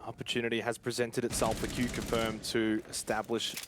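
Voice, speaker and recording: A man's voice: medium pitch, monotone, brisk, fairly bright tone, a little hiss or hum. A man giving colour commentary on a live esports match in a conversational, reactive way.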